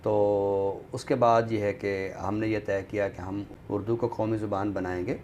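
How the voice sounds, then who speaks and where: A man with a Pakistani accent, speaking as an expert guest on a literary talk show in a measured, explanatory way. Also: fairly low pitch, flat delivery, a little mellow, a little hiss or hum. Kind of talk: conversational commentary on a talk show.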